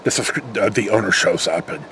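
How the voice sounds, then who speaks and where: A man's voice: fairly low pitch, fairly quick, a bit bright, slight background noise, rough recording. An older American man telling casual, rambling anecdotes, acting out the dialogue and using salty language. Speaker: storyteller, former security patrol officer.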